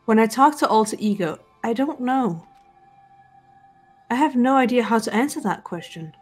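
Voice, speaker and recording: A woman playing a composed, serious teenage girl in an anime game fan dub, speaking in a measured, deliberate way. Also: on the high side, lively intonation, darkish tone, husky, faint music behind. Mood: serious, resolute. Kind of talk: visual novel fan dub voice acting.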